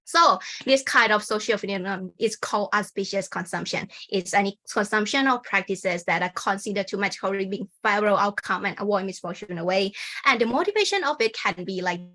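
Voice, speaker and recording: A woman's voice: mid-range voice, lively intonation, a little fast, pushed and bright. A young woman from Thailand with a Thai accent, a native Thai speaker giving an academic conference presentation in an informal way, full of fillers like "like" and "kind of", over a video call.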